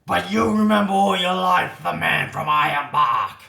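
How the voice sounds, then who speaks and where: A man voicing a hot-tempered, rough bushman from the outback in a comic ballad, loud and blustering. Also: high voice, fairly even intonation, moderate pace, reverberant, far from the mic, voice pushed up, exaggerated voice. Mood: outraged, bellowing. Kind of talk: comic ballad character acting.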